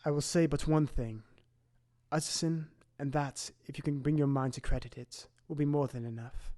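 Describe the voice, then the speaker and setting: A man's voice: a little high, fairly even intonation, dark tone, lightly acted. A man playing a grave, shaken Victorian doctor, recounting horror in formal, dramatic audio drama narration. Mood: shaken, despairing. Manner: formal, dramatic, measured storytelling.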